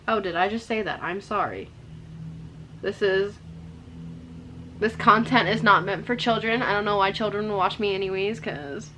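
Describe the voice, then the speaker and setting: Chatty young woman, slightly hoarse. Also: medium pitch, pushed and bright, slight background noise, low-quality recording. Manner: chatty, rambling, lots of "like" and "um". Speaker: makeup vlogger. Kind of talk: casual makeup tutorial.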